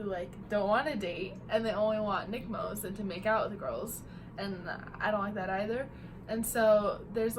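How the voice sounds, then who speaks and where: A young woman student answering street-interview questions in a chatty way, full of fillers and small laughs. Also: medium pitch. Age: young adult. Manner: chatty, many fillers, giggly. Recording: outdoor noise around the voice.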